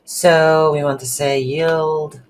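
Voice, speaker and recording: Slow, halting coding tutor with background noise. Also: medium pitch, flat delivery. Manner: halting, thinking aloud while typing. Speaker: game development tutorial instructor. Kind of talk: unscripted coding tutorial narration. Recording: noisy room with keyboard typing.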